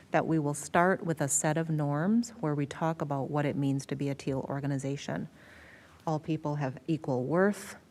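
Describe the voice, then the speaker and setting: A woman's voice: sits low, a little mellow. An American woman, a school district superintendent, explaining things in a meeting presentation and repeating words for emphasis. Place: school board meeting room.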